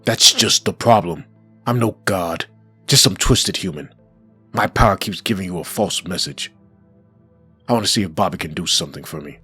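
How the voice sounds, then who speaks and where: A man acts out a brooding undead comic-book antihero. The voice is low and extremely rough and husky, grim in manner, with music underneath. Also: normal speed, bright, forceful tone, exaggerated voice. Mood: grave resolve. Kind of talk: comic dub acting.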